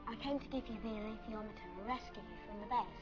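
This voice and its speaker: A woman's voice: mid-range voice, fast talker, natural voice. A young female performer playing a brave, earnest girl adventurer in a fantasy game. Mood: pleading. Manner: urgent, earnest. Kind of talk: dramatic game acting. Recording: dull sound under loud music.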